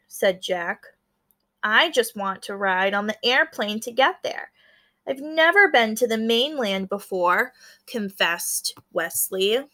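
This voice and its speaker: A woman teacher reading a book aloud to fourth graders, pausing to explain and prompting them to notice and wonder. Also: mid-range voice, sharp tone. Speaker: elementary school teacher. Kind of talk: read-aloud lesson for fourth graders, explaining as she goes.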